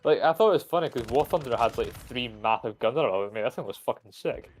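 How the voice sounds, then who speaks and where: A man's voice: medium pitch, lively intonation, fast, slight background noise. A young man streaming a game, rambling in casual chat with frequent swearing and restarts. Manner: rambling, profane, full of restarts.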